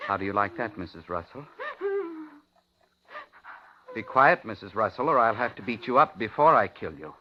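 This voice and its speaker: A man's voice: lowish voice, expressive, fast, lightly acted. An actor playing a paranoid, coldly polite madman who taunts and menaces his captive, in 1950s radio thriller style. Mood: menacing, gloating. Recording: muffled, noisy 1950s radio recording.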